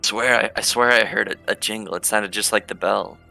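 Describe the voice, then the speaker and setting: A man's voice: on the low side, fairly even intonation, fairly quick, gravelly, sharp tone. A man playing a tabletop roleplaying game, chatting casually and describing what his character does. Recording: music behind the voice.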